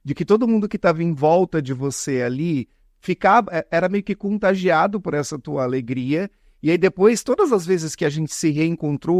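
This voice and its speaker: A man with a Brazilian accent hosts a conversational interview. His voice sits a little high for a man and is recorded very dry. He talks in a chatty, informal way and readily pays compliments. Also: gravelly, a little mellow, no room sound. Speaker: podcast host.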